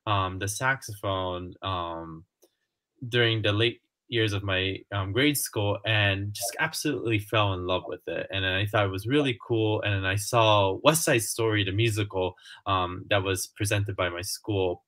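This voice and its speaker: Bright-voiced man from Japan on a video call. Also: fairly low pitch, moderate pace. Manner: chatty, many fillers and restarts. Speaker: music and artistic director. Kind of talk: casual video-call interview. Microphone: headphones on a video call.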